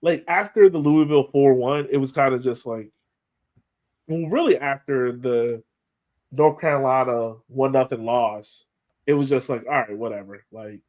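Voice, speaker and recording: A man's voice: mid-range voice, moderate pace, darkish tone, highs cut off. A man co-hosting a soccer podcast in casual chat, with a playful, folksy way of putting questions. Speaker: sports podcast co-host.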